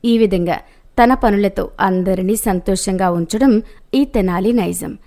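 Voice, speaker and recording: A woman's voice: medium pitch, unhurried, warm tone. A woman reading a scripted moral story as its narrator.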